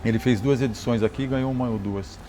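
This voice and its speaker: A man's voice: medium pitch, fast talker. A man from Brazil speaks in a mid-range voice, recounting his engineering and rally years conversationally. He often restarts words and tags sentences with "né?". Faint background noise sits under the recording. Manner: relaxed, repeats and restarts words, says "né?" often. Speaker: guest, motorcycle engineer and rally champion. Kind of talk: conversational interview storytelling.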